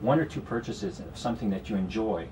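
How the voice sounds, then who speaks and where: A man's voice: on the low side, fast talker, warm tone, far from the mic, slight background noise, rough recording. A man with a Canadian accent, a guest strategist explaining investments in a radio studio conversation, with many "you know"s. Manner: explanatory, talks in long runs with frequent "you know".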